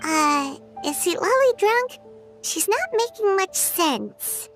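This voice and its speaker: A woman voicing a tiny, childlike, cheeky fairy companion in an anime game, squeaky and excitable. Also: slow pace, heavily acted. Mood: teasing. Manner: speaks of herself in third person. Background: music.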